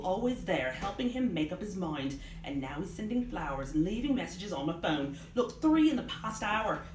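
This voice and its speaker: A woman's voice: lowish voice, pitched above the performer's own voice. Woman reading as a scornful best friend, echoey. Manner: scornful, grumbling, emphatic. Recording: roomy live reading, background noise.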